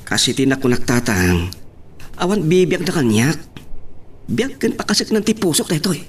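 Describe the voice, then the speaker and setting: A man's voice: a little high, big pitch swings, moderate pace, breathy edge. An actor playing a stubborn young man in love, speaking insistently in a radio soap drama. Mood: defiant. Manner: emphatic, insistent.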